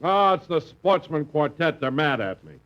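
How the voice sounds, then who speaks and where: A man's voice: fairly high pitch, big pitch swings, normal speed. A man in a 1950s radio comedy, talking in a sheepish, hesitant way. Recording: lo-fi old radio broadcast.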